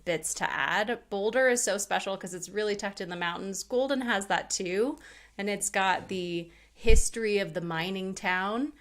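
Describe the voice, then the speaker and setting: A woman in her thirties, a podcast guest who talks in a loose, candid way and breaks into laughs now and then. Her pitch sits in an ordinary middle range for a woman. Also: normal speed, a bit bright. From Hawaii. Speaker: podcast guest, rental investor. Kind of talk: casual interview chat.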